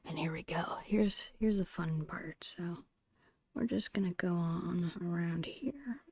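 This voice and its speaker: A woman's voice: fairly low pitch, measured pace, darkish tone, no room sound. A woman whispering in an ASMR style, casually thinking aloud in short phrases as she narrates her own digital painting. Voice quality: breathy whisper.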